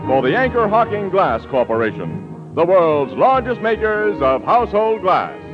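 A dull old radio recording with music behind. An American man delivers polished, declamatory 1940s announcer reads, fairly high in pitch with very lively intonation. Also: measured pace.

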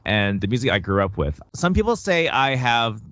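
A man's voice: medium pitch, sing-song, moderate pace, fairly bright tone, no room sound. A male musician talking as an interview guest, chatty and rambling, often restarting his words. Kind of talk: casual interview. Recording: dull, lo-fi, dry sound.

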